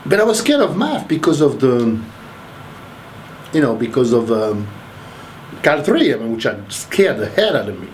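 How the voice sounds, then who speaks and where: A man's voice: medium pitch, normal speed, pauses often, slight background noise, rough recording. Rambling older man from Haiti. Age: 60s. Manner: rambling, restarts, animated. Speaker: interviewee, math professor. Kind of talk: casual interview.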